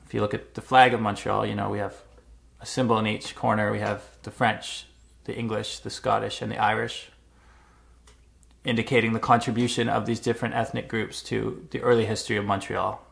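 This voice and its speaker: A Canadian man vlogging, speaking in a measured, thoughtful way with frequent uhs and small restarts. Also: on the low side, level tone, gravelly, a bit bright. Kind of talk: reflective personal vlog. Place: Montreal.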